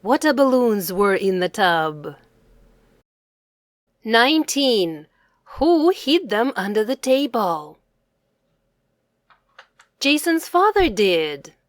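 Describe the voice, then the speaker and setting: A woman presenting a quiz for young children, asking questions and answering them in a deliberate, carefully enunciated, teacherly manner. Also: a little high, very animated, dry recording, studio quality.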